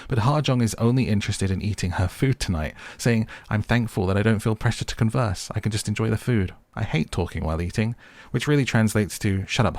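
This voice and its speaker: A man's voice: fairly low pitch, dry recording. A man narrating an opinionated show recap as host, with wry, exasperated commentary and music underneath.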